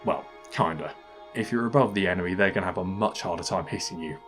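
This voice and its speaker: Low-voiced man narrating a game review. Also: quick pace, fairly bright tone, soft background music. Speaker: video game reviewer, channel host. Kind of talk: scripted comedic review narration.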